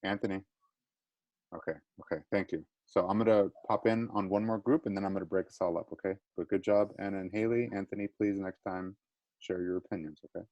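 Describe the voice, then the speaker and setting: A man's voice: low voice, fairly even intonation, fairly quick, a little mellow, no room sound, poor audio. A man teacher leading a small online class discussion, encouraging students and checking in often. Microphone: video call.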